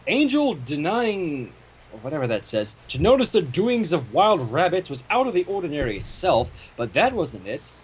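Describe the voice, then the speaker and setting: A man reading fan fiction aloud in an expressive way, with casual asides. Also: a little high, moderate pace, poor audio. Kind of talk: story narration with casual commentary. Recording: home microphone with clear noise.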